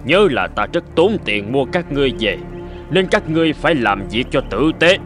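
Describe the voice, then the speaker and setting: An actor playing a haughty, bossy middle-aged master in a cartoon folk tale, speaking lines imperiously. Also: male voice, mid-range voice, sing-song, normal speed, a bit bright. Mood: stern, sizing up. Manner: imperious, scolding servants. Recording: music behind the voice.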